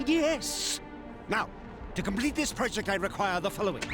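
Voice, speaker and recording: A man's voice: high voice, lively intonation, moderate pace, music in the background. A man playing an eccentric, greedy villainous inventor in a video game, speaking with pompous technical precision. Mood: demanding. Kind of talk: video game cutscene acting.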